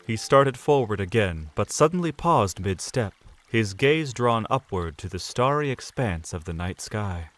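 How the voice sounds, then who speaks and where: A man narrating an audio drama in a measured storytelling manner. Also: on the low side, expressive, fairly bright tone, faint music behind. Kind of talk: scripted narration.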